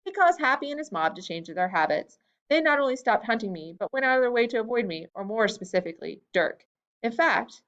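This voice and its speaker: A woman reading a first-person story aloud, lifting her voice for the quoted lines. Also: muffled recording. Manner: expressive reading that lifts pitch for quoted lines. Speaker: reader. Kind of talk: storybook reading of a memoir-style tale.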